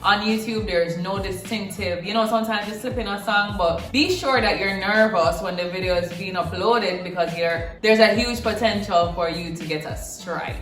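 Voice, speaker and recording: Young Jamaican woman vlogger; bright, emphatic, chatty; echoey room. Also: medium pitch, normal speed, few pauses, soft background music. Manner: chatty, emphatic, repeats words for stress. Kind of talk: casual talk to camera. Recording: roomy echo.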